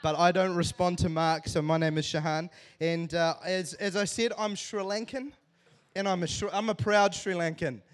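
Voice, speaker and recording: A man in his thirties with a New Zealand accent, giving a lively, joking church talk to a congregation. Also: high voice, normal speed. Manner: animated, joking, self-deprecating. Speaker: church speaker. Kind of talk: humorous sermon storytelling to a congregation.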